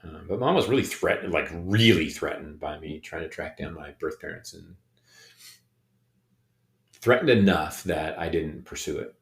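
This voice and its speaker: A middle-aged man with a very low, deep voice, speaking slowly and haltingly with frequent ums. He is talking personally and reflectively in a casual podcast chat. The sound carries the echo of a bare room. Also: creaky. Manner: hesitant, many ums and restarts. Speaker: podcast co-host. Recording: echoey room.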